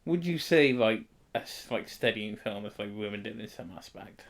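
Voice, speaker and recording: A man's voice: lowish voice, lively intonation, moderate pace. A British man co-hosting a film podcast in casual, blokey chat, reacting informally to his co-host.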